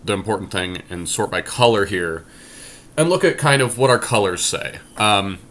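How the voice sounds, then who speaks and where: A man's voice: lowish voice, lively intonation, normal speed, a bit bright. A man co-hosting casual gameplay commentary, thinking aloud and explaining his choices as he goes. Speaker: podcast co-host and card game player.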